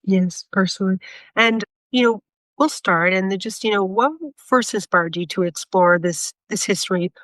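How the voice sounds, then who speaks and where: Female podcast host, medium pitch, supportive and hesitant questioning, dry sound. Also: moderate pace, fairly bright tone, no room sound. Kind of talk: interview questions.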